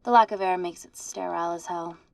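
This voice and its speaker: A woman's voice: on the low side, steady tone, brisk, creaky, darkish tone. An actress playing a composed woman scientist in a sci-fi film, speaking naturally with a dry, matter-of-fact manner. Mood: matter-of-fact, wry. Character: composed woman scientist leading a high-security lab.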